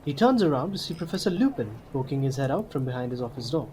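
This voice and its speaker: A man with a middling pitch narrates an audio drama from a script. The recording carries faint noise. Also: big pitch swings, fast talker, darkish tone. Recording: slightly noisy home mic.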